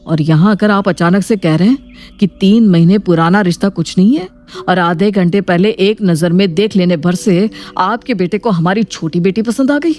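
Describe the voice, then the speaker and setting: A woman's voice: mid-range voice, a little mellow, close to an everyday voice. Woman playing a stern, proud father. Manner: stern, emphatic. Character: stern, proud father scolding in family drama.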